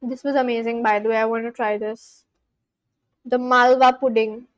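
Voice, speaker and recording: A woman's voice: on the high side, flat delivery, moderate pace, dry recording, lo-fi sound. A woman from India, chatting casually on a live stream at home and answering her chat aloud. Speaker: language-learning livestreamer. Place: at home at a laptop.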